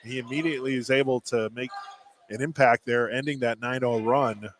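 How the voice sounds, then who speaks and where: A man's voice: mid-range voice, steady tone, moderate pace. A man giving conversational color commentary on a live basketball broadcast. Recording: clear background noise on live broadcast.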